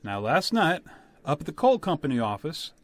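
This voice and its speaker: A man's voice: a little high, big pitch swings, normal speed, no echo. A man playing a practical, plainspoken village official in a radio play, folksy and orderly. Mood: businesslike.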